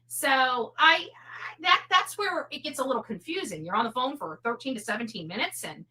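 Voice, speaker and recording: Bright, high-voiced woman rambling. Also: animated, slight background noise, poor audio. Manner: halting, repeats words. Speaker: online commentator. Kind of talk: casual rambling opinion commentary.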